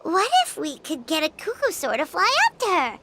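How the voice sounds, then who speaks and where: Squeaky, lively little fairy companion. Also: female voice, normal speed, very noisy. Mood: earnest concern. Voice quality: squeaky, childlike. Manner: refers to self in third person, earnest. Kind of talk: video game voice acting.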